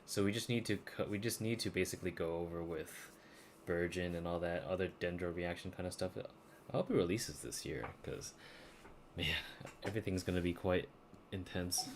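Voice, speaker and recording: A man's voice: low voice, fairly even intonation, normal speed, slight background noise. A man streaming a video game and chatting casually in slangy, informal language. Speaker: live streamer. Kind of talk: casual gaming stream chat.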